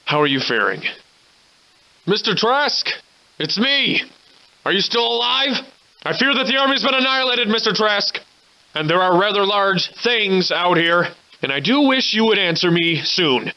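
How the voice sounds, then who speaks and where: An actor playing a weary, wry Victorian gentleman adventurer in a video game, with florid, old-fashioned, formal diction. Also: high voice, lively intonation, moderate pace, muffled recording. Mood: alarmed, calling out. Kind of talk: theatrical video game voice acting.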